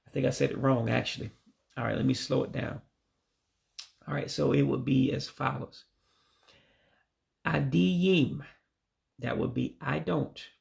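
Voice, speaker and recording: A man with a deeply gravelly, creaky voice talks through a home-made language lesson at an unhurried pace. He sounds out each phrase carefully and tentatively, stopping to correct himself and try again. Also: steady tone. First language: English. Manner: slow, careful, tentative pronunciation with self-corrections. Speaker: language learner teaching greetings. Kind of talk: self-taught language lesson.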